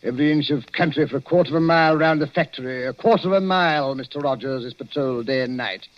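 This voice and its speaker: Actor as a brusque army colonel. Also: male voice, a little high, fast talker, natural voice. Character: stern older British army colonel. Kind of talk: 1940s radio acting. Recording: lo-fi 1940s radio broadcast.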